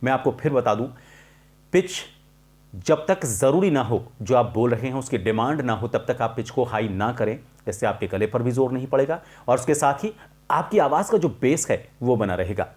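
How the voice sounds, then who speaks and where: Man, mid-pitched voice, voice coach, instructional explanation, measured delivery, emphatic repetition of key points. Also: expressive, a little mellow. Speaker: voice coach presenting a tutorial.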